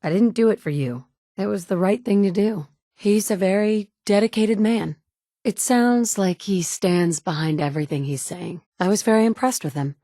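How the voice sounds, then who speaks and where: A woman's voice: medium pitch, dark tone, dry recording, clean studio sound, close to an everyday voice. An actress voicing a tough, blunt woman survivor, the player character in a game, who is curt and assertive. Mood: firm. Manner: curt and assertive, quick to snap back. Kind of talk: game voice lines.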